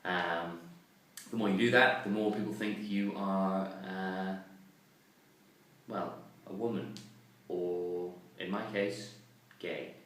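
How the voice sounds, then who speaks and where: A man's voice: low-pitched, steady tone, slow pace, long pauses, fairly bright tone. A man vlogging casually to the camera, chatty and self-mocking, with plenty of ums and uhs. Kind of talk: casual vlog talk to camera. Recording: very echoey, distant mic, faint noise.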